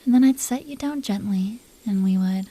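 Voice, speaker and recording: A woman voices a possessive dragon queen with a mellow, relaxed voice at a middling female pitch. She talks closely and softly to her captive in an intimate roleplay, teasing and coaxing with tender, protective confidence. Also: normal speed, breathy edge, natural voice. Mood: wistful, tender. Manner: teasing, coaxing, soft and intimate, dropping into whispers. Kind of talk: intimate roleplay audio drama addressed to the listener.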